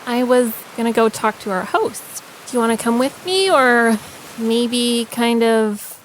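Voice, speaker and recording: Give me a woman acting out a bubbly, awkward young adventuring woman in a fantasy game. She gushes and hedges in casual, halting chatter, with clear hiss in the recording. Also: fairly high pitch, natural voice, poor audio. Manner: gushing, hesitant, filler-heavy casual chatter.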